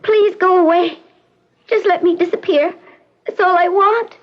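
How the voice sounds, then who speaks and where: A woman's voice: fairly even intonation, unhurried, dull audio. A fragile frightened young woman. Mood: frightened, pleading. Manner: high, plaintive, pleading.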